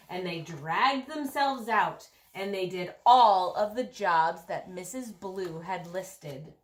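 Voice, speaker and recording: A woman reading a picture book aloud in an expressive way, with emphatic stresses and drawn-out phrasing. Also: mid-range voice. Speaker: storybook reader.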